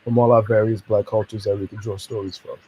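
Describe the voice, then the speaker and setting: A man speaking as a podcast guest, his voice mellow and soft-edged. He chats in a friendly, personable way and laughs easily while talking about his work. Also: fairly low pitch, flat delivery, fast, highs cut off, slight background noise. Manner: friendly, easygoing, laughs often. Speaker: podcast guest, comic creator. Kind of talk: casual podcast chat.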